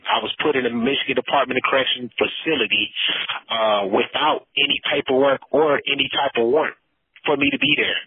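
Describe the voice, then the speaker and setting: Man, husky voice, earnest and conversational, giving testimony down a lo-fi prison phone line. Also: medium pitch, no room sound. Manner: earnest, repeats "man" and "you know". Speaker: incarcerated storyteller giving testimony. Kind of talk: casual spoken plea over a phone call. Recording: muffled lo-fi prison phone call.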